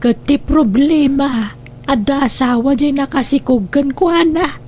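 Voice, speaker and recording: A woman playing a concerned middle-aged mother, measured and sorrowful as she explains, in radio drama style. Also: high voice, darkish tone, slight background noise. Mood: worried, sorrowful. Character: middle-aged mother, concerned wife relaying family troubles.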